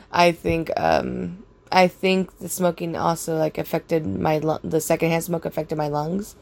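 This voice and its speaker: A young woman with a raspy voice, casually rambling through a personal story with lots of fillers and swearing. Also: deep voice, steady tone, unhurried, darkish tone.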